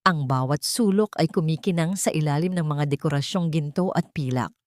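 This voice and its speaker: A woman tells a dramatic story in a low voice, slow, solemn and measured. The sound is dry and close to the mic, with no room around it. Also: darkish tone, no room sound. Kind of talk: scripted dramatic narration.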